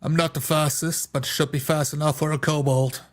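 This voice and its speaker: A man playing a tabletop roleplay, speaking in formal, deliberate wording as his character and narrating his actions. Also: a little high, little pitch movement.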